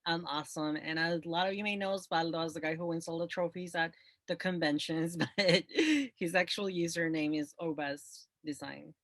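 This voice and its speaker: A man's voice: a little high, steady tone, moderate pace, fairly bright tone, no room sound, clean studio sound. A man hosting an interview show, upbeat and chatty, laughing easily with his guest. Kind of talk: casual interview chat.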